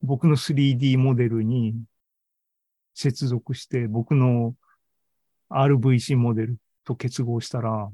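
Mellow-voiced man thinking aloud. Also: mid-range voice, fairly even intonation, measured pace, no room sound. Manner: conversational, seeks agreement with frequent sentence-end tags. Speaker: presenter of an online AI seminar and podcast. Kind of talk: informal tech talk, thinking aloud. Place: indoors, live online video meeting.

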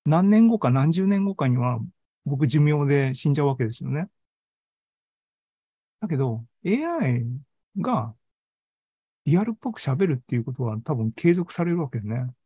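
A man's voice: on the high side, sing-song, moderate pace, long pauses, slightly rough, dry recording, lo-fi sound. A man giving an informal tech talk as an online presenter, thinking aloud and often checking for agreement. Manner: conversational, seeks agreement with frequent sentence-end tags. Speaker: presenter of an online AI seminar and podcast. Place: indoors, live online video meeting.

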